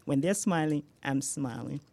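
A woman's voice: low-pitched, normal speed, creaky, mellow. A woman with a Nigerian accent, talking in an interview about her healthcare business, laughing often and using many fillers. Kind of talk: radio interview answers.

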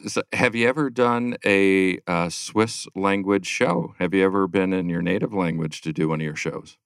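A deep, low-pitched man hosts a podcast interview and asks friendly questions in an easy, chatty way. His voice is close and dry, with no room echo. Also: fairly even intonation, normal speed, clear, resonant tone. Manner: laughs along, friendly questions. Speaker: podcast host. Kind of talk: casual interview chat.

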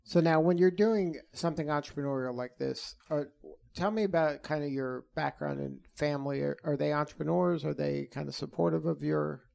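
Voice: mid-range voice, a little fast, vocal fry, few pauses, a little mellow. An interviewer asking curious, chatty questions and laughing often.

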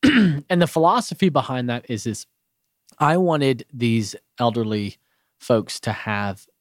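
A man from Bulgaria speaking as a radio guest in a studio, earnest and halting with many restarts. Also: mid-range voice, animated, dry recording. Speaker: radio show guest, business owner. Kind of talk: casual radio interview.

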